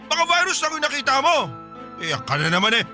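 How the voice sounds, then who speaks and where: A man's voice: extremely high pitch, sing-song, quick pace, pushed and bright, soft background music, heavily acted. A man acting an evasive husband in a Tagalog radio drama, defensive, scoffing and blustering. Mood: indignant. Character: indignant, evasive older husband denying an affair.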